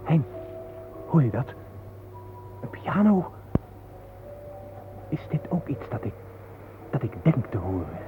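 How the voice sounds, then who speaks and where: A man's voice: medium pitch, very animated, slow pace, long pauses, music in the background. An actor playing an uneasy male visitor to old battlefields in a radio play, hesitant and full of questions. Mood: uneasy wonder. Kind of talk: naturalistic radio play acting. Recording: noisy narrow-band old radio recording.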